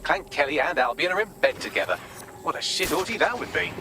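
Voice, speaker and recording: An actor playing a witty, chatty AI assistant in a video game, full of dry sarcasm. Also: male voice, on the high side, animated, fast, noisy background, lightly acted. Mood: brisk analysis. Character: witty sardonic male AI assistant. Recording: loud music behind the voice. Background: game music.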